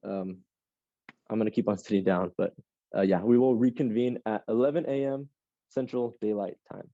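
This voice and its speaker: A young man teaching a live online class on computing clusters, a casual speaker who rambles with lots of ums and restarts and sounds slightly hoarse. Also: fairly low pitch, steady tone, moderate pace, dark tone, no room sound, dull audio. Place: classroom with a Zoom audience.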